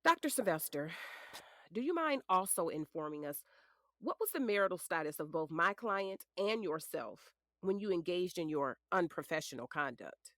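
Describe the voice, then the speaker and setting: A woman's voice: fairly low pitch, sing-song, normal speed, a bit bright, dry recording. A woman playing a polished defense attorney in a courtroom drama, formal, methodical and pointed. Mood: pointed, cutting. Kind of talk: audio drama acting.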